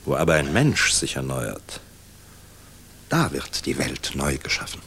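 Grave old-school general, really deep rough voice, slow solemn radio drama speeches. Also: male voice, very animated, fairly bright tone, exaggerated voice. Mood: solemn, rising. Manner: measured, solemn, reflective, rhetorical. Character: world-weary, grave Luftwaffe general, middle-aged man. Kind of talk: 1960s German radio play acting.

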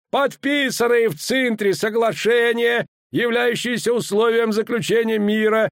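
Guy doing a bossy imperial commander barking orders way up high, super dry sound. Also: dry recording. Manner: shouting commands, hammering out each phrase. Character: bellowing imperial male commander, stern and overbearing.

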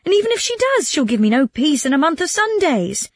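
A woman voicing a gullible, miserly man in a storybook, blubbering and yelling in exasperation. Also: high voice, sing-song, fairly quick, no room sound, pitched above the performer's own voice. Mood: flabbergasted, fretful. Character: gullible miserly man wailing over his stolen pig.

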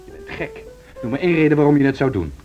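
A man's voice: fairly high pitch, sing-song, quick pace, music in the background. A man acting a blunt, grumbling, sardonic husband in a Dutch social radio drama. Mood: disapproving. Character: grumbling, sardonic husband opposed to wife's ambitions. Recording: dull, noisy radio drama recording.

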